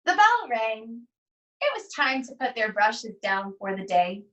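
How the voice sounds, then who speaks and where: Bright-voiced woman reading a storybook slowly. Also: a little high, distant mic. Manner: deliberate, expressive phrasing with pauses. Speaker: art teacher reading aloud. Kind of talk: slow storybook read-aloud for children.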